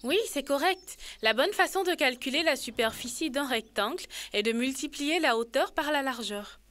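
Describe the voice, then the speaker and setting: A woman's voice: high voice, animated, normal speed, a bit bright, soft background music. A woman voicing an earnest, clever young girl who explains things in a French children's cartoon. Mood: explaining. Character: earnest, clever young schoolgirl taking charge.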